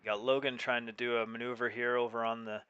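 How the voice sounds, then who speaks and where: A man commentating live on an esports tank match as streamer, with a halting delivery that repeats words. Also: medium pitch, flat delivery, bright, forceful tone, clear, resonant tone, dull audio.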